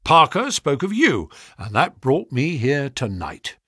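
A man voicing a brisk, flattering financial agent in a story, speaking sharply with grand, pushy salesmanship. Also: mid-range voice, husky, no echo, studio quality, exaggerated voice. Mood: salesmanlike, grandiose. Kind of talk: audiobook character dialogue.

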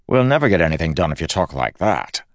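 Audiobook rogue, read by a male narrator: cocky, determined crew boss, brisk and insistent, wry edge, very dry recording. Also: sits low, sing-song, no room sound. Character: cocky, determined, irreverent dead man, crew leader.

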